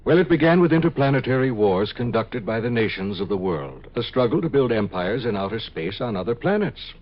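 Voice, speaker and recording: An actor playing a calm, detached scientist of the far future in a 1950s radio drama, speaking in a measured, clinical way. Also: male voice, medium pitch, a little hiss or hum, lightly acted. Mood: explaining. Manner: measured, matter-of-fact, clinical. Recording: muffled 1950s radio recording.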